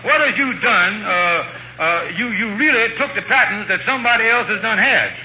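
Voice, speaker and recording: An actor playing a scheming, fast-talking lodge leader in an old radio comedy, blustering and wheedling in folksy ungrammatical talk. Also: male voice, high-pitched, animated, sharp tone, full character voice. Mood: scheming, alarming. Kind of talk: 1940s radio comedy acting. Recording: muffled old radio broadcast with faint noise.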